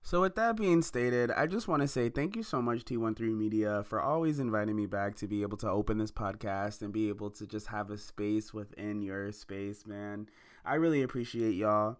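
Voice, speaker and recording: A man's voice: on the low side, normal speed, clear, resonant tone. A man in his twenties giving a preachy motivational monologue to listeners, repeating lines for emphasis. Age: 20s. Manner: addresses listeners as y'all and baby. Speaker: independent musician opening a podcast.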